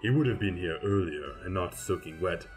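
A man's voice: sits low, animated, brisk, soft background music. A man voicing a patient, fussy male grim reaper in a fan radio play, formal and explanatory. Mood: explaining.

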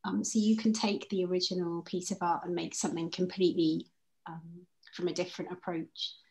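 A woman's voice: fairly low pitch, little pitch movement, brisk, a little mellow, poor audio. A woman poet on a video call, talking informally and reflectively as she answers questions. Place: indoors at home, on a group video call.

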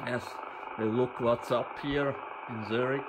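A man giving an informal hands-on demonstration of radio equipment, in halting, fragmented phrases. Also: mid-range voice, fairly even intonation. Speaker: presenter of a radio equipment channel. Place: indoors at a table. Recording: noisy, with hiss and radio static.

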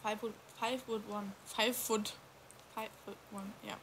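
A woman's voice: mid-range voice, level tone, measured pace, background noise. A young woman, a native German speaker, chatting casually on a livestream in a laid-back way with short replies. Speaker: livestream co-host.

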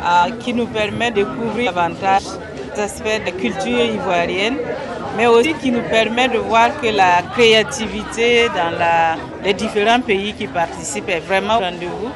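A woman answers into a handheld reporter mic at a crowded event, talking casually with fillers. The crowd is clearly heard around her. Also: medium pitch, moderate pace. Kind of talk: interview answer. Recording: noisy crowd behind.

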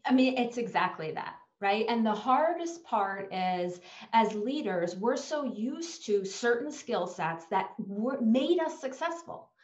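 Animated American woman on an echoey video call. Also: a little high, dull audio. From United States. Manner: animated, gestures while talking, quick bursts. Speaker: leadership expert guest. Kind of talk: conversational video interview. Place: home office with bookshelves.

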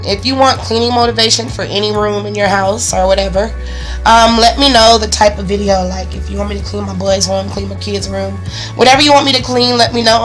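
A woman in her thirties with a raspy voice from the flu, chatting casually to her phone camera at home, with lots of ums. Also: mid-range voice. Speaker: vlogger, stay-at-home mom. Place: home bedroom, indoors. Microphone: phone camera held close.

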